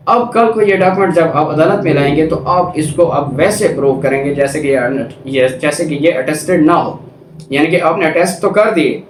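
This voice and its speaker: A man's voice: a little high, a little hiss or hum. A man with a Pakistani accent giving a law lecture, reading out clauses and explaining them didactically with questions he answers himself. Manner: didactic, poses questions and answers them, code-switches into English. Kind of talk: lecture explaining legal articles, reading clauses then paraphrasing. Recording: echoey room.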